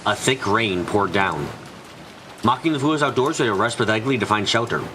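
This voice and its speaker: A man's voice: fairly low pitch, lively intonation, fairly quick, background noise. A man narrating a scripted story in a dramatic style for a visual novel fan dub. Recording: music behind the voice. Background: clear background music.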